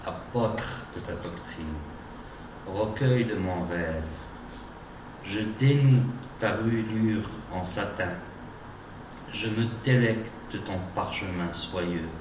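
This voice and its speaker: A man giving a dramatic, declamatory recitation of romantic poetry in deliberate phrases. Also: on the low side. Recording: distant, echoey, noisy recording.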